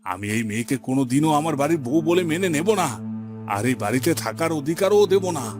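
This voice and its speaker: A man's voice: on the high side, animated, normal speed, close to an everyday voice. A man voicing a domineering village headman and father in a melodramatic cartoon, stern and imperious. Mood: outraged. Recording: background music under the voice.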